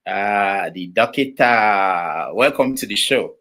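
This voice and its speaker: A man hosting a talk show, speaking haltingly with many fillers and restarts. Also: on the low side, lively intonation, bright, forceful tone. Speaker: show host. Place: studio.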